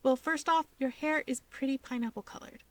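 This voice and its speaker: A woman voicing a playful, teasing, warm-hearted young woman from a fantasy guild in a story reading. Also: sits high, a little fast, dry recording, pitched above the performer's own voice, natural voice.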